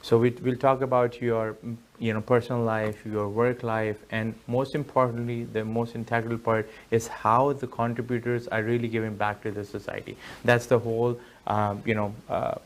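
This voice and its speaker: A man hosts a conversational interview show. His voice sits at an average pitch. He addresses his guest politely and with deference. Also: level tone, moderate pace. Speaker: talk show host.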